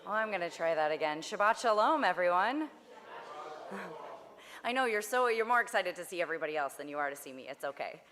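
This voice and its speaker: A young woman with a slightly hoarse voice, leading a worship service in a chatty, friendly way with many fillers. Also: fairly low pitch, expressive, brisk, fairly bright tone. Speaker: service leader. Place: synagogue sanctuary. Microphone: body mic. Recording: long reverb of a sanctuary.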